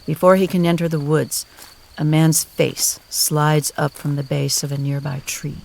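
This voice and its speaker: A woman narrating an audio drama in a solemn, measured storytelling manner. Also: low voice, level tone, gravelly, a little mellow, a little hiss or hum.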